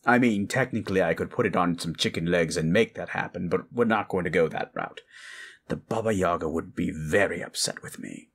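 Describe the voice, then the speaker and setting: A man voicing a measured, knowing sage with a formal, dry manner in an improvised fantasy roleplay. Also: low voice, vocal fry, slightly rough, full character voice.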